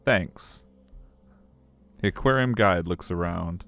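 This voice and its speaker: A man's voice: deep voice, fairly even intonation, moderate pace, smooth. A man narrating a visual novel on a live stream, with chatty, joking commentary and game music behind him.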